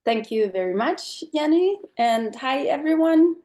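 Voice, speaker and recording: Danish lady giving a talk on a video call, slow pace, lots of ums. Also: high voice, lively intonation, dark tone. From Denmark. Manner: slow, thoughtful, many fillers. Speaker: guest speaker. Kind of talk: online presentation talk.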